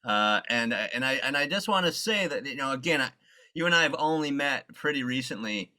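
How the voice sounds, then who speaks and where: A man hosting an interview, speaking earnestly and haltingly with many restarts as he praises and questions his guest. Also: mid-range voice, animated, pushed and bright. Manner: hesitant, full of fillers and restarts, earnest. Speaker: interviewer, facilitator.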